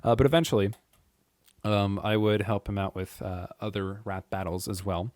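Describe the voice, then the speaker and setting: A man with a deep voice, chatting casually and rambling to his viewers, with frequent chuckles and filler words. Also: steady tone, moderate pace, darkish tone, no echo. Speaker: YouTube creator. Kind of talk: casual monologue to camera.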